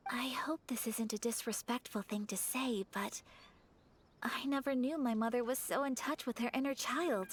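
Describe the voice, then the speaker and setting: A woman plays a graceful young noblewoman in a game, dutiful and reserved, with a slightly high, soft and courteous voice. She chooses her words with care and never rushes. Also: slight background noise, natural voice. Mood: reflective. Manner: soft-spoken, polite and measured.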